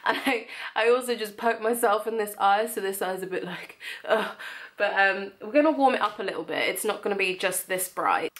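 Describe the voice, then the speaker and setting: A woman's voice: mid-range voice, creaky, fairly bright tone. Young woman, beauty vlogger, mild cold, nasal, casual tutorial chat. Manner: chatty, friendly, lots of ums. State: has a cold, slightly nasal.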